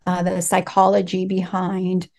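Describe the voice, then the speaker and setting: A woman's voice: on the low side, monotone, unhurried, vocal fry, darkish tone. Middle-aged woman from LA, spanish first language, thoughtful and earnest, talking about her life in an interview. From Los Angeles, United States. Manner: earnest, reflective storytelling. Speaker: life coach, interview guest. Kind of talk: interview conversation.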